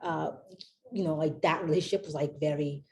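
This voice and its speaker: A woman's voice: low-pitched, steady tone, a little fast, mellow. A young woman from Haiti, a company founder, chatting as a podcast guest and rambling with many fillers and restarts. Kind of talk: casual interview chat.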